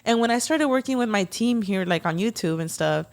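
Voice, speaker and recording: A woman's voice: mid-range voice, lively intonation, brisk. A young woman co-hosting a casual podcast, chatty and full of fillers, with Spanish as her first language. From United States, Mexican parents. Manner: chatty, swears, many fillers. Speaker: podcast co-host. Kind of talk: casual chat.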